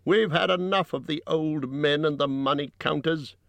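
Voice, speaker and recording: A man voicing excitable, aggrieved lakeside townsfolk, calling out emphatically in a fantasy storybook. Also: medium pitch, takes it slowly, gravelly, no room sound, voice pushed up. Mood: clamorous, rebellious. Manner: clamorous, calling out.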